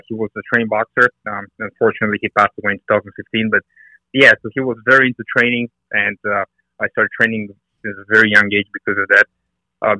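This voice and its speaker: A man's voice: fairly low pitch, bright, forceful tone, no echo, poor audio. A middle-aged man with a Bulgarian accent, a guest on a podcast interview, talking earnestly with many hesitant fillers. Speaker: guest, fitness and nutrition coach.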